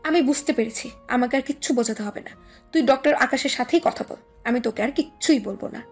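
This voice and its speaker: A woman plays a meddling, teasing little sister with a high voice, quick to take offence. It is an audio love story with faint music underneath. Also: darkish tone, husky. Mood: indignant, sulky.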